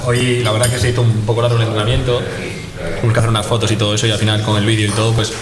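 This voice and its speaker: Male footballer guest, quick and easygoing, echoey room. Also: on the low side. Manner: relaxed, laughs easily. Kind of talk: casual chat. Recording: echoey room with loud crowd chatter.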